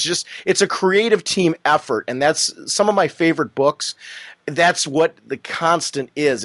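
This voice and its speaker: Raspy male podcast co-host, rambling and keen, pitched a bit high, dry close sound. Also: fairly bright tone, no echo. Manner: rambling, enthusiastic, many fillers. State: raspy from allergies. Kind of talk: casual comic book chat.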